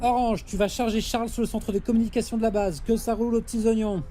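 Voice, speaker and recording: A woman playing the authoritative, brisk captain of a space mission in an audio drama. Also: on the high side, fairly even intonation, warm tone, a little hiss or hum, lightly acted. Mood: stern. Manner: authoritative, brisk, exasperated orders. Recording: music behind the voice.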